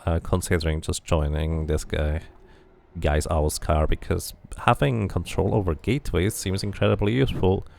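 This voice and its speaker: A man's voice: sits low, vocal fry, a little mellow. A man casually commenting on his game as he plays, musing and thinking aloud. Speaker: game streamer. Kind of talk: casual let's play commentary.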